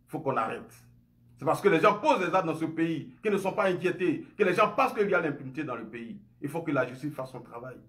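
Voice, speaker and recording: A man in his forties with an Ivorian French accent delivers an emphatic, indignant speech straight to his phone camera. His voice sits high for a man, and he keeps firing off rhetorical questions. It is recorded indoors in a room at home. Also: expressive, fast talker, fairly bright tone. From Côte d'Ivoire. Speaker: online commentator. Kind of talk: impassioned monologue to camera.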